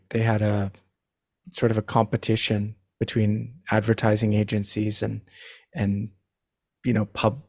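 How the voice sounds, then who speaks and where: Guy podcast guest, lowish voice, super monotone, halting deadpan chat. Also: no room sound, clean studio sound. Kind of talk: casual discussion.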